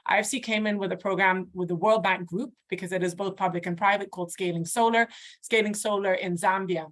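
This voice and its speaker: A woman's voice: medium pitch, fairly even intonation, a little fast. Assertive woman on a panel. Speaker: development finance panelist. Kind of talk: panel discussion.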